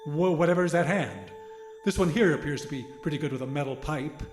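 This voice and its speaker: Determined, apologetic grandpa played by a male actor, fairly high male voice, echoey room, radio drama lead with a desperate plan. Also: a little fast, soft background music, close to an everyday voice. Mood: firm. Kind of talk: audio drama acting.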